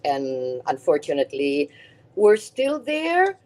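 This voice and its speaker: A middle-aged woman with a Filipino accent talks in a low, deep register. She speaks earnestly as an interview guest. She often repeats words while she builds toward her point. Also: huge pitch swings, takes it slowly, darkish tone. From Philippines. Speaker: guest, nonprofit CEO. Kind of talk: podcast interview.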